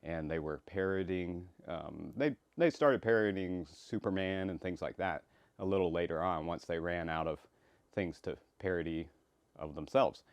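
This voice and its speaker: Man, low pitch, casual creator commentary, halting and rambling, no room echo. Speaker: creator introducing his comic.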